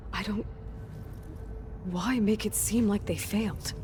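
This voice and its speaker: A woman's voice: medium pitch, background noise, lightly acted. An actress playing a determined young woman warrior in a video game cutscene, terse and probing as she thinks aloud. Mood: puzzled.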